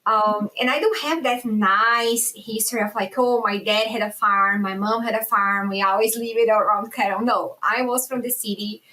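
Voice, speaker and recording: A woman's voice: on the high side, steady tone, moderate pace, few pauses, pushed and bright, rough recording. A woman from Brazil in her thirties, with a Brazilian Portuguese accent, speaking as a guest on a podcast in a chatty, easygoing way with frequent restarts. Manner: chatty, laughs easily, with hesitant restarts. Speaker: guest researcher. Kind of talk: casual interview conversation.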